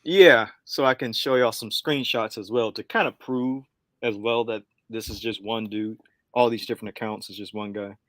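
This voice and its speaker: A man's voice: lowish voice, moderate pace, a bit bright, dry recording. A man in his twenties co-hosting a casual chat, chiming in with short laid-back remarks. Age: 20s. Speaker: co-host.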